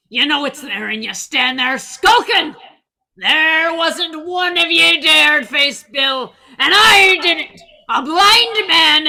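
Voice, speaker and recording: Radio play villain: a woman as blind bullying old buccaneer, very high, piercing, shouted delivery. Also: heavily acted. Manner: archaic sailor slang, haranguing. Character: blind old pirate Pew, a ranting bullying man.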